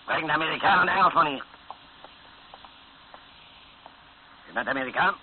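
An actor playing a brutal, domineering rebel colonel in a radio drama, barking commands. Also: male voice, a little high, rapid-fire, lightly acted. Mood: cold, threatening. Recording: muffled lo-fi radio recording with hiss.

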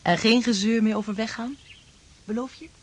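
An actress playing an affectionate, playful young lodger in a radio drama, coaxing and direct. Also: female voice, on the high side, moderate pace, husky. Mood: tender, coaxing. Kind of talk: Dutch radio drama acting.

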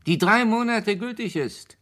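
An actor playing a confident British police inspector in a German radio crime drama, explaining methodically with deliberate emphasis. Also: male voice, high-pitched, expressive, a bit bright. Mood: satisfied, emphatic.

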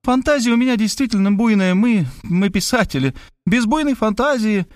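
A man's voice: high-pitched, expressive, normal speed, no echo, studio quality, voice pushed up. A man voicing a self-assured woman writer turned amateur sleuth who lectures in a patronizing, matter-of-fact way. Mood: smug.

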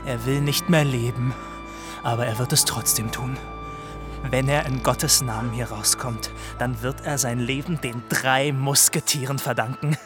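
An actor playing a bullying, self-dramatizing teenage soldier in a radio drama, declaiming bombastically. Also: male voice, medium pitch, fairly even intonation, unhurried, music in the background, natural voice. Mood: gruff, boastful.

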